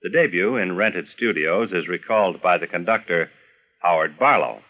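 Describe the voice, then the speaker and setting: Deep-voiced American male documentary narrator. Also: normal speed. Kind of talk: scripted narration. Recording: dull vintage radio recording.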